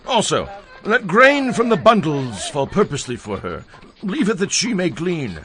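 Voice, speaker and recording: A generous, authoritative landowner speaks in a bright voice with very lively swings of pitch. He is protective and commanding, in the manner of a Bible audio drama. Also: normal speed, faint music behind, heavily acted. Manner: authoritative, expressive.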